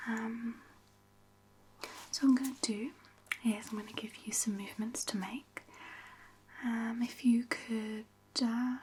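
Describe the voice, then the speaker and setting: A woman speaking in a breathy, whispered ASMR style as a physiotherapist, gently giving reassuring instructions. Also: fairly high pitch, slight background noise, poor audio. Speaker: ASMR performer playing a physiotherapist. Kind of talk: soft-spoken ASMR medical roleplay.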